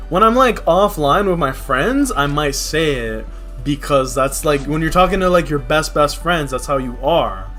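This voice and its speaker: A man's voice: mid-range voice, fairly bright tone. A young man from New City, talking casually and candidly in slangy, conversational livestream chat. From New York City. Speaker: game livestreamer. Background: music.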